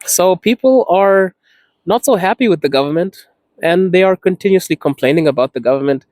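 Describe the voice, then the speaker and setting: A man's voice: sits high, animated, brisk, a little mellow, dry recording. A man from Zimbabwe talking candidly in a casual vlog, laughing often and stretching words for emphasis. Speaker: podcast host. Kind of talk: casual personal vlog talk.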